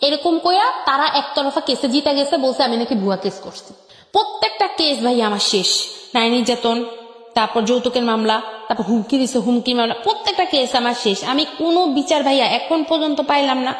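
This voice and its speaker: A woman's voice: high-pitched, fairly quick. A young woman with a Bangladeshi Bengali accent and an electronically altered voice, telling her life story emphatically and repeating phrases. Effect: voice changer on the voice. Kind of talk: personal storytelling on a radio show. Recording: echoey with long reverb.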